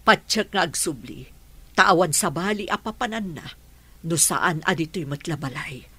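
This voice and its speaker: An actress playing a mature, reassuring adoptive mother, insistent and expressive, in radio drama style. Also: fairly low pitch, moderate pace, breathy edge, dry recording, full character voice. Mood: concerned, reassuring.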